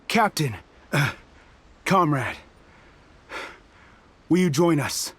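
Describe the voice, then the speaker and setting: A man's voice: fairly high pitch, full character voice. A man voicing an embittered, proud resistance soldier in a video game, with an intense, challenging delivery. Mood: grudging, admitting. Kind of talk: English video game voice acting.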